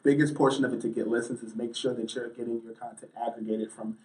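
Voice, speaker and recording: A male journalist giving conversational interview answers in a mid-range voice. He builds his points haltingly, often repeating words. The microphone sounds slightly distant. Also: medium pitch, fairly even intonation, darkish tone. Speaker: interview guest, sports and entertainment journalist.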